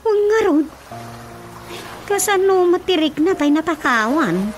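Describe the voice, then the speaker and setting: Woman as high-pitched anxious daughter, Ilocano accent. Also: big pitch swings, measured pace, faint music behind, exaggerated voice. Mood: alarmed. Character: anxious daughter, frank and easily alarmed. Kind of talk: Ilocano radio drama comedy acting.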